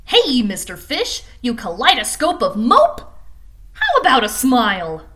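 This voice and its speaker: A woman's voice: huge pitch swings, unhurried, sharp tone, heavily acted. Woman doing a cheeky squirmy squid. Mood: teasing. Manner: cheeky, teasing, high. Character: squirmy, slightly rude female squid.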